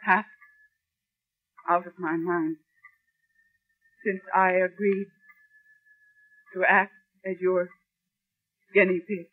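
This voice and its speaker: Threatened wife, tense, defiant, rough-edged voice, halting dramatic delivery, 1940s radio, tinny narrow recording. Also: female voice, on the low side, flat delivery. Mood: distraught. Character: frightened, defiant woman pressured by murderous husband.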